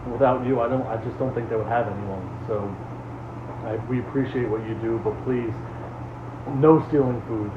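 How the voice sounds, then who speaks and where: A man acting a weary, patient community leader in a horror series, earnest and halting as he gently reprimands. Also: medium pitch, fairly even intonation, lightly acted. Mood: earnest, firm. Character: weary, patient male leader of an underground refuge. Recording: tinny, echoey, noisy lo-fi audio.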